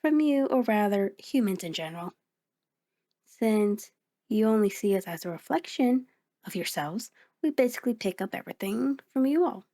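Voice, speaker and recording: A woman's voice: medium pitch, expressive, moderate pace, warm tone. A woman voicing a sentient mirror reflection in an ASMR audio roleplay, speaking directly to the listener in a conversational, hesitant, explanatory way. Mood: chatty, reassuring. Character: sentient female mirror reflection, sheepish, chatty, patient explainer.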